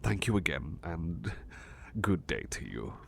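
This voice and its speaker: An eager, flustered butler played by a man in an ASMR roleplay. His voice sits fairly low but swings up and down expressively as he stammers through formal, courteous phrases. Slight background noise runs under the recording. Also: measured pace, creaky, heavily acted. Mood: nervous, courteous. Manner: polite, formal, stammering, excitable. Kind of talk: ASMR roleplay acting, personal attention.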